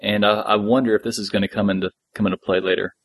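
Male podcast co-host, fairly low voice, casual chat, very dry sound. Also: level tone, fast, no echo. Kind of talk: casual discussion of an audio drama.

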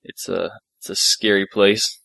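A man co-hosting a fan podcast, recapping an episode in casual, loose and halting talk. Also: fairly low pitch, level tone, creaky, sharp tone, no echo.

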